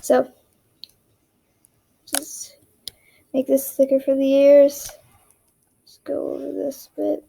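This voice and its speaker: A young woman narrating a casual drawing tutorial in a monotone, rambling way, often dropping to a whisper as she works. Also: high-pitched, slow, vocal fry, long pauses. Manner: monotone, rambling, self-deprecating.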